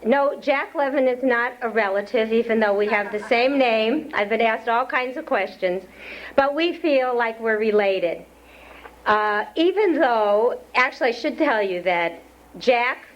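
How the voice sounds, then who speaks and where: A woman's voice: fairly high pitch, measured pace, a little hiss or hum. Woman introducing a speaker on old tape. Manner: warm personal asides before reading credentials. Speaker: event host introducing a speaker. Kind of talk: formal introduction. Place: community center hall. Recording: lo-fi 1992 lecture tape.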